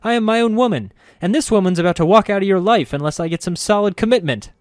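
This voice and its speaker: A man's voice: a little high, big pitch swings, fairly quick, darkish tone, no echo, studio quality, voice pushed up. A man voicing a perky, sweet-natured young woman who is eager to please and hates being mean. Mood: mock furious. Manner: bubbly, breathless and eager to please.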